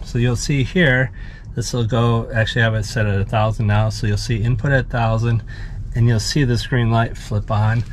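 A man's voice: lowish voice, darkish tone. A man in his fifties walking through a van electrical setup in a rambling, self-deprecating, think-aloud way, filmed on a handheld camera inside a van. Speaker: van-build vlogger. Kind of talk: casual how-to walkthrough. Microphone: handheld action camera. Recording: noisy van interior.

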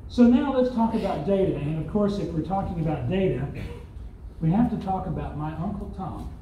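A man's voice: high-pitched, lively intonation, fairly quick, noisy background. Older man giving a keynote in an echoey hall. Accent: Southern US. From Arkansas, US. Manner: conversational, rhetorical questions, wry asides. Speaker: keynote speaker. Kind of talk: conference keynote speech. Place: large hotel conference hall. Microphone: far phone camera in audience. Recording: distant echoey hall, dull audience phone recording.

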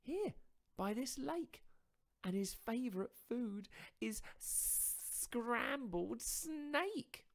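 A man's voice: extremely high pitch, lively intonation, drawn-out words, gravelly, pitched above the performer's own voice, full character voice. A man voicing a small, clever, boastful storybook mouse in a chirpy, sing-song manner.

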